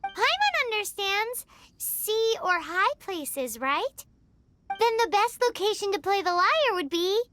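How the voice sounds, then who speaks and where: A woman voicing a tiny, cheeky, childlike fairy companion with a squeaky, chirpy voice in a video game. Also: big pitch swings, slow pace, fairly bright tone, a little hiss or hum, exaggerated voice. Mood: curious, bright. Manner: refers to self in third person. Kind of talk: video game character acting.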